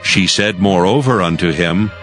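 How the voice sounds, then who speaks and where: A man's voice: low voice, normal speed, fairly bright tone, faint music behind. A man narrating scripture for a dramatized audio Bible in a formal storytelling manner.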